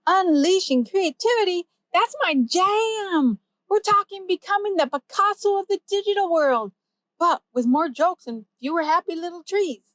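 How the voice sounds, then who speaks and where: A woman voicing a fast, wisecracking comic AI sidekick who is always ready with a punchline. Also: extremely high pitch, fairly bright tone, no room sound, pitched above the performer's own voice. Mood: excited. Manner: quick punchlines, playful.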